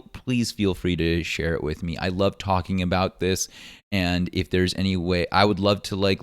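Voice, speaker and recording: A man with a deep baritone voice, hosting his own podcast and musing earnestly with many ums and likes. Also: clean tone, dry recording, clean studio sound. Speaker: podcast host. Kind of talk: solo podcast monologue. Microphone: podcast microphone.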